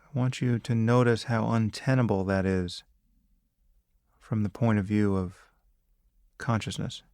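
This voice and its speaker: A man leading a guided meditation as a teacher, speaking calmly and deliberately in short phrases with long pauses. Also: fairly low pitch, smooth, no room sound, clean studio sound. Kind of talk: guided meditation, spoken instruction.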